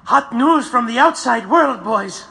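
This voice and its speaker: An actor playing an eager young newspaper boy bringing news to jailed men, urgent and excited. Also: very high voice, unhurried, vocal fry, a little hiss or hum, heavily acted. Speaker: messenger visiting the jail.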